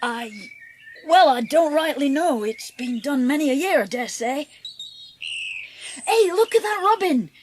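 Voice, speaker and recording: A woman's voice: high voice, animated, unhurried, long pauses. A woman playing a kindly country boy with a Yorkshire accent, reassuring and plain-spoken, in an audiobook drama. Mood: gentle, reassuring. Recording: noticeable background noise.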